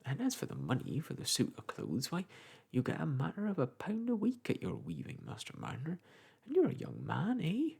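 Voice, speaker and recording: Man doing a garrulous old village clerk, gravelly, husky. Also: a little high, wildly swooping pitch, normal speed, darkish tone, pitched above the performer's own voice, full character voice. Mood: probing. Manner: rambling, folksy, lecturing.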